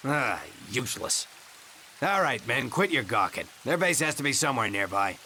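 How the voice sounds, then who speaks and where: An actor playing a brusque, urgent soldier in a war game cutscene. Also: male voice, mid-range voice, very animated, moderate pace, pushed and bright, slight background noise. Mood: brusque, commanding.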